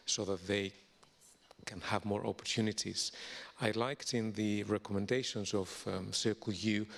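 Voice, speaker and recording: A man with a low, gravelly voice takes his turn on a panel. He speaks in a measured, deliberate way. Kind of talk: panel discussion.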